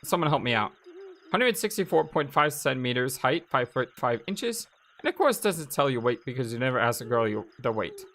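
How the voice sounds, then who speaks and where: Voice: medium pitch, very animated, fairly quick, a bit bright, a little hiss or hum. A let's play host giving casual, chatty gameplay commentary and reading game text aloud, reacting as they go. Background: video game music.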